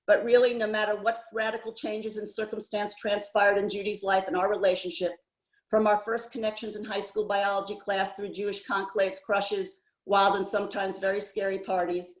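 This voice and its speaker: The voice is a woman in her seventies with a medium pitch, heard in an echoey room over an online call. She reads a prepared personal tribute in an earnest, heartfelt manner. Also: a little mellow. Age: 70s. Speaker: memorial speaker. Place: indoors on an online video call. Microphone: video call microphone.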